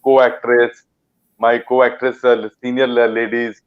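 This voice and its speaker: A man's voice: mid-range voice, little pitch movement, slow pace, smooth, lo-fi sound. A man from Punjab with an Indian English accent, an actor chatting in an interview, rambling with fillers and restarts. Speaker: actor guest. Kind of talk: casual interview chat.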